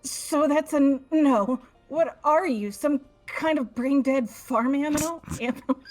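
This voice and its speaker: A woman's voice: high-pitched, a little slow, a little mellow, faint music behind, rough recording. A woman voicing a haughty young woman from a video game, scornful and theatrical, gushing over her master. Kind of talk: amateur voice acting of a video game.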